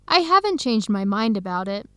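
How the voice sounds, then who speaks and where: A woman's voice: medium pitch, big pitch swings, moderate pace, sharp tone, smooth, dry recording. An actress playing an earnest young woman student in a radio drama. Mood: disappointed, confessing.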